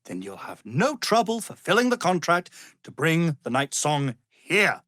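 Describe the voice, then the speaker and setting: An arrogant, menacing wizard from a fantasy game, speaks in an unhurried, highly animated voice. He is pompous and condescending, delivering each phrase with theatrical relish. The recording is dry and close. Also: no echo, full character voice. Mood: haughty, menacing. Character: arrogant, power-hungry male wizard, haughty and menacing.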